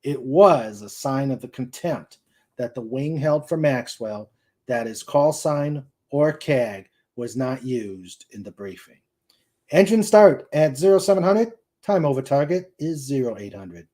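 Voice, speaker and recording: Male reader for dramatic novel narration, soft-edged mellow tone, mid pitch, careful delivery. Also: lively intonation, unhurried. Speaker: host reading a novel aloud. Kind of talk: dramatic audiobook-style narration.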